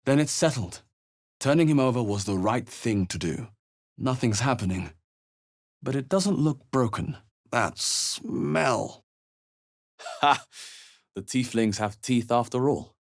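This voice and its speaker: A man's voice: medium pitch, lively intonation, slow, pauses often. An actor playing a cool-headed, suspicious male adventurer in a fantasy video game, with short, dry, theatrical remarks.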